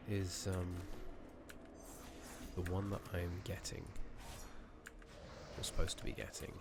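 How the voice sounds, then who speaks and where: A man giving hushed, casual, rambling ASMR commentary while playing a video game, speaking softly into a close microphone. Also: sits low, fairly even intonation, slow pace, gravelly. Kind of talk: soft-spoken ASMR gameplay commentary. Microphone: close USB condenser microphone. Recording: noticeable background noise, clicking.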